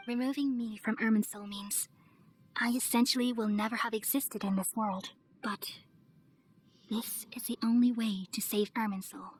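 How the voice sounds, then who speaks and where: A woman playing a serene, wise and motherly goddess in an anime-style video game, speaking gently and solemnly. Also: a little high, close to an everyday voice. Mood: calm, resigned. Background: music.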